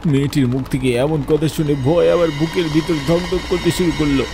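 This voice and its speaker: A man's voice: a little high, fairly even intonation, brisk, darkish tone, close to an everyday voice. A man voicing a frightened ordinary man who tells his own ghost story in dramatic horror cartoon style. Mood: tense narration. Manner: dramatic, fearful, pleading in dialogue. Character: frightened family breadwinner stranded among ghosts, narrating. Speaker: first-person narrator and protagonist. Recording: noisy ambience behind the voice.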